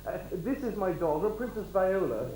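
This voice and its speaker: A man's voice: sits high, lively intonation, normal speed, mellow, slight background noise. A man playing a flustered, pompous and lecherous king in an amateur stage pantomime, stammering courtesies and bossing his servant. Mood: apologetic, fussy. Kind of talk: broad amateur pantomime acting. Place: hall stage. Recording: echoey stage recording of a live show.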